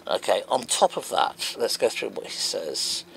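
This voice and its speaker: Animated man with a gravelly voice. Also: lowish voice, a little slow, slight background noise, poor audio. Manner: animated, wondering aloud, emphatic. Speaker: homeowner answering comments. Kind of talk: reading out and answering comments.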